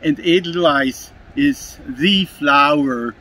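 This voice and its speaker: A man from Switzerland with a Swiss German accent, chatting outdoors in a park in a halting way, often restarting his words. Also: a little high, bright, forceful tone. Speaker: street performer. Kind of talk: casual chat. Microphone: filming phone held by interviewer.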